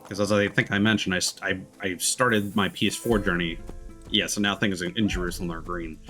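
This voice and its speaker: A man's voice: low-pitched, fairly even intonation, fairly quick, bright, forceful tone, faint music behind. A man giving casual, musing commentary while streaming a video game, rambling from thought to thought.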